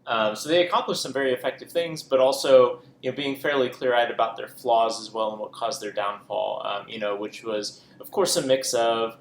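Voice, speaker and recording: Male podcast co-host, gravelly, casual and rambling, echoey room. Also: mid-range voice, normal speed, few pauses. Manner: rambling, many ums and self-corrections. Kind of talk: casual book chat. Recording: echoey room with faint noise.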